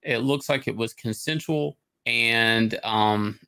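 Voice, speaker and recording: Man, middle-aged, low-key gravel and fry in the voice, rambling opinionated host commentary. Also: slow, sharp tone. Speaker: host of a comics talk show. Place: indoor room, on a video call.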